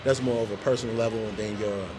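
A man's voice: lowish voice, steady tone, quick pace, background noise. A man hosting a vlog, chatting casually in a laid-back, joking, slangy way. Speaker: vlog host. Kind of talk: casual chat.

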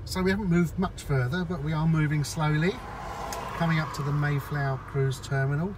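A man's voice: on the high side, fairly even intonation, a little fast. A man vlogging while he drives, chatting to viewers and explaining each step as he goes. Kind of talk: casual narration while driving. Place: inside a car in traffic. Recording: in-car recording with road noise.

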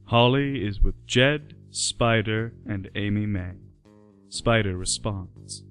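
A man's voice: lowish voice, takes it slowly, a bit bright, smooth, soft background music. A man giving scripted narration for a radio play.

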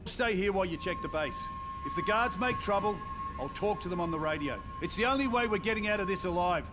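An actor playing a steady, military-minded survivor leader in a video game, earnest and used to giving orders. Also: male voice, sits high, quick pace, over loud music. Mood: urgent, rallying. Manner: earnest, directing. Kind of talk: video game cutscene acting.

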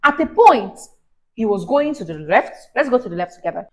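A young woman reading a story aloud to a child in an expressive, encouraging, teacherly way, often prompting with questions. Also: a little high, brisk. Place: small indoor room on a sofa. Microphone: lapel mic.

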